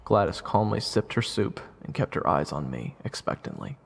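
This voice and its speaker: Guy doing a sarcastic boastful young rogue, lots of vocal fry, swings up and down, audio drama with music behind. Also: low voice, sing-song, moderate pace, heavily acted. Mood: narrating, wry. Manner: flippant, boastful. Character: cocky young rogue swordsman, evasive and sarcastic. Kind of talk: first-person audio drama narration and dialogue.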